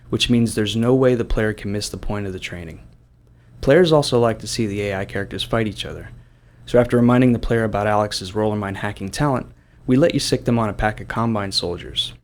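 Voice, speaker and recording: A man giving scripted commentary as a game developer. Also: fairly low pitch.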